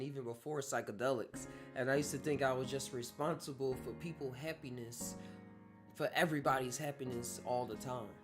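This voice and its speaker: A man in his twenties hosting a solo podcast, talking in a rambling, reflective way and often correcting himself. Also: medium pitch. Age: 20s. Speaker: podcast host. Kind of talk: casual solo podcast talk. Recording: guitar music playing under the voice.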